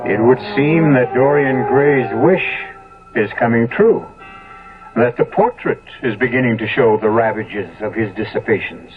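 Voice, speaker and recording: A man narrating a 1970s radio drama as host, ominous, formal and measured. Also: mid-range voice. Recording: thin, phone-like old radio recording. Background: music.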